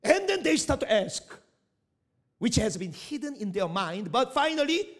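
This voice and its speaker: A middle-aged man with a Korean accent, whose first language is Korean, preaching a sermon in halting English with restarts and rising emphasis. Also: extremely high pitch, very animated. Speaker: pastor, missionary.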